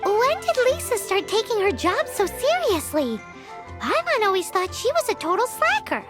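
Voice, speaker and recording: A woman's voice: big pitch swings, normal speed, over music. A woman voicing a tiny, cheeky fairy-like girl companion who chatters bouncily and talks about herself in the third person, in a video game. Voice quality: high squeaky childlike voice.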